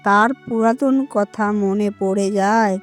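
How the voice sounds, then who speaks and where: A woman's voice: medium pitch, steady tone, measured pace. A woman giving scripted narration for a cartoon story. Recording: music under the voice.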